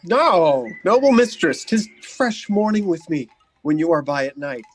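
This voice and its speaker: A man's voice: a little high, very animated, a little slow, a little hiss or hum. An actor playing an ardent, gallant young nobleman in love in a Shakespeare radio play, earnest and courtly. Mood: gallant pleading.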